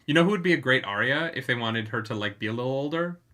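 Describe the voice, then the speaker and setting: A man's voice: medium pitch, lively intonation, bright, forceful tone. A man co-hosting a book club podcast, joking casually and swearing. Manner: quick, joking, swears a lot. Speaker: podcast co-host.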